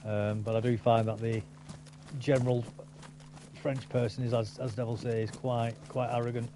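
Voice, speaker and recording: A man's voice: medium pitch, little pitch movement, moderate pace, mellow, slight background noise. A man chatting casually with a friend while gaming, rambling and opinionated with many restarts. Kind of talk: casual chat over gameplay.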